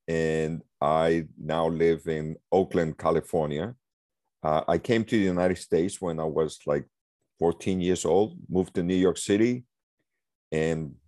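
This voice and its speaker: Man from Colombia, deep low voice, dry close recording, earnest, many fillers. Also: a little mellow, no room sound. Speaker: guest, social psychologist. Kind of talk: self-introduction in a talk.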